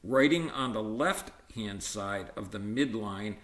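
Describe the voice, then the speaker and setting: A man reading an educational textbook aloud in a measured, explanatory way, sometimes stumbling and correcting himself with informal asides. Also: lowish voice, expressive, a bit bright. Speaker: volunteer-style book reader. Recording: roomy, echoey sound.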